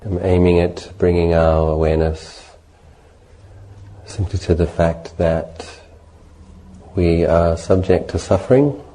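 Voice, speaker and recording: A man leading a guided meditation as a Buddhist teacher, speaking in a measured, gentle way with deliberate pauses between short phrases. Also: low-pitched, low-quality recording.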